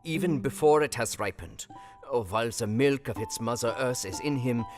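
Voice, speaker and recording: An actor playing a wise older professor in an audio drama, kindly and grave, with emphatic, halting counsel in foreign phrasing. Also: male voice, medium pitch, lightly acted. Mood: musing, playful. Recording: long reverb, music behind. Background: guitar music.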